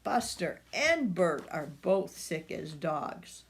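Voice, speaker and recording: An older woman reading a picture book aloud to children, expressive and speaking directly to her young listeners. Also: fairly low pitch, takes it slowly, creaky, slight background noise.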